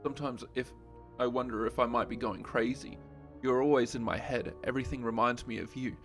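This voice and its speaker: A man voicing a tender, lovesick letter writer with a soft, earnest, intimate way of reading. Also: medium pitch, fairly quick, faint music behind, close to an everyday voice. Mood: longing.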